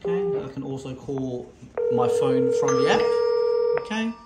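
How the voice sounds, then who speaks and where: A man giving an unscripted product demo to viewers, halting and repeating himself as he goes. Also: mid-range voice, animated, over loud music. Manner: halting, repetitive, addressing viewers as guys. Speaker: product demonstrator. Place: indoor room, desk demo. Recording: echoey room with background noise.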